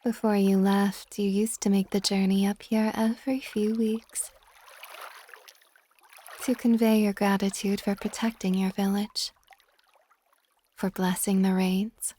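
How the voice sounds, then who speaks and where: A woman voicing an ancient, possessive but tender water dragon goddess in a soft, intimate ASMR romance roleplay, often slipping toward a whisper. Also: medium pitch, level tone, unhurried, pauses often, lightly acted. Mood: possessive, coaxing.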